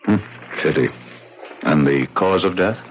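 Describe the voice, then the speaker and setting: An American actor playing a smooth, measured, faintly condescending psychiatrist in a 1970s radio drama. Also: male voice, deep voice, lively intonation, exaggerated voice. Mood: politely evasive. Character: smooth, probing male psychiatrist with unsettling calm. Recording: dull, noisy 1970s radio recording.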